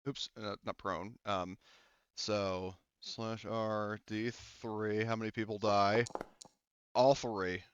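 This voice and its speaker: A man's voice: on the low side, little pitch movement, slow, clean tone, no room sound. A man running a tabletop game as game master, rambling loosely and laughing often. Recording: dull, lo-fi mic sound.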